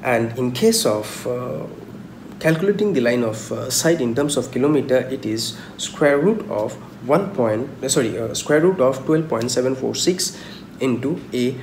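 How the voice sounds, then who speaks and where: A young man lectures informally on a technical subject at a middling pitch. He explains haltingly, repeats his points and poses questions that he then answers. The sound is roomy and echoey, with noticeable background noise. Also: animated, darkish tone. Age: young adult. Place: small indoor room at home.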